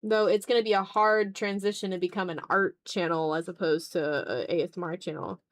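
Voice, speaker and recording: A woman's voice: on the low side, fairly even intonation, brisk, no echo. Casual, self-deprecating woman streamer. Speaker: art livestreamer. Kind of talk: casual chat while drawing on stream.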